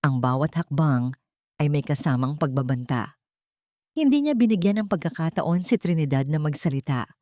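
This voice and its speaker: A woman's voice: deep voice, normal speed, mellow, dry recording, clean studio sound. A woman narrating a scripted audiobook story in a dramatic storytelling style.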